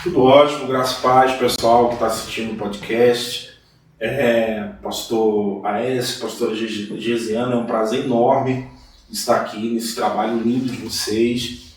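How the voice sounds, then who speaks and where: A man from Salvador, a guest pastor telling his life story in a measured, earnest way in a podcast interview. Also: mid-range voice, flat delivery, recorded from a distance. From Salvador, Bahia, Brazil. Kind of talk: podcast interview, personal testimony. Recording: echoey room, voice from off-mic.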